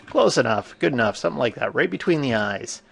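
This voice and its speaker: Gravelly man with a stuffy cold. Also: mid-range voice, lively intonation, a little fast, a bit bright. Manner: casual, thinking aloud with frequent fillers. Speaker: 3D animation instructor. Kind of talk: screen-recorded software tutorial.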